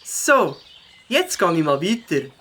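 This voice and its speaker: A man's voice: a little high, big pitch swings, slow pace, sharp tone, husky, full character voice. Young beaver voiced by a man for a kids' audio story: Swiss German, good-natured, childlike, swooping intonation, birdsong behind. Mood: resigned.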